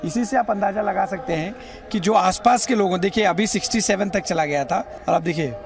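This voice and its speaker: A man's voice: sits high, brisk. A man reporting news on location outdoors, explaining things as he goes, with city traffic noise around him. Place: outdoors in busy city streets. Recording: noisy outdoor street ambience, traffic. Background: urban street noise and vehicles.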